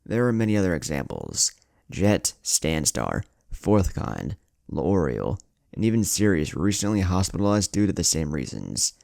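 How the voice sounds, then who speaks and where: A man voicing a small, composed creature who explains things gravely to a meeting of heroes in an audio story. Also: low voice, very animated, a little mellow, no room sound, clean studio sound, exaggerated voice. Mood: grave, explanatory. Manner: measured, explanatory.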